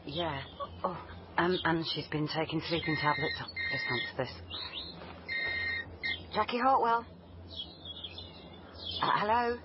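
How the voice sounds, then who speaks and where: An actress playing a careful, guarded British police liaison officer in a radio drama, answering hesitantly and briefly. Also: female voice, low voice, expressive, measured pace, long pauses. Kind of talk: naturalistic radio drama acting. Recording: dull recording, faint background noise.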